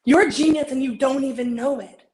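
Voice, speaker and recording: A woman's voice: high-pitched, brisk, darkish tone, lightly acted. A woman performing a stage monologue as an earnest, supportive woman, heartfelt and emphatic as she encourages a loved one.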